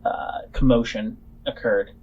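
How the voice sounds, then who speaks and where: A man's voice: mid-range voice, fairly even intonation, measured pace, a little mellow, breathy edge, muffled recording. A man narrating a tabletop game as game master, improvising and searching for his words.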